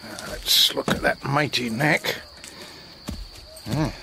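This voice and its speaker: An actor playing a shrewd, sceptical horse expert in a radio drama, dry and authoritative in manner. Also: male voice, medium pitch, takes it slowly, creaky, background noise. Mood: matter-of-fact.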